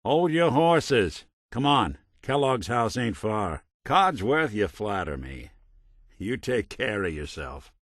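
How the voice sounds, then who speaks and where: An actor playing a weary, wry but kind synth private detective in a video game, with an American accent and a folksy old-time gumshoe delivery. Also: male voice, fairly low pitch, big pitch swings, slow pace, a bit bright, no echo, clean studio sound, full character voice. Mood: casual, wry. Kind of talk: noir detective game dialogue.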